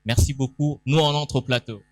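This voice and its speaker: A man's voice: medium pitch, fairly even intonation, fairly quick, no room sound. Enthusiastic male event moderator. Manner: enthusiastic, announces guests, calls for applause. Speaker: moderator and pastor. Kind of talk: event hosting. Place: event hall stage.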